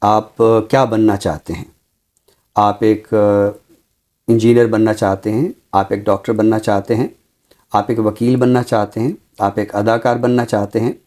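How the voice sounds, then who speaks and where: A Pakistani man speaking formally and deliberately as a literary commentator, with emphatic, repeated phrasing. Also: lowish voice, a little mellow. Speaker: literary commentator on a talk show. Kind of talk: measured, formal literary discussion and poetry recital.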